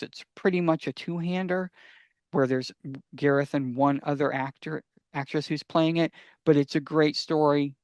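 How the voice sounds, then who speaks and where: A man hosting a casual fan podcast, talking enthusiastically and sometimes haltingly as he gives recommendations. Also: fairly high pitch, darkish tone, clean tone, dry recording. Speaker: podcast host.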